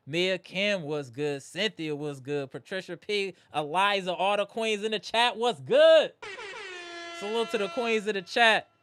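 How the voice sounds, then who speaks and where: A man hosting a live postgame basketball reaction stream, animated and greeting viewers in the chat, his voice strained from yelling. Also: high voice, unhurried, clean tone, faint music behind. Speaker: livestream host.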